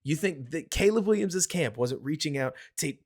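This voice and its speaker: A man hosting a casual sports podcast, talking excitedly and building his case with rhetorical questions. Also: fairly high pitch, moderate pace, husky. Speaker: podcast host. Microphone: home podcast mic.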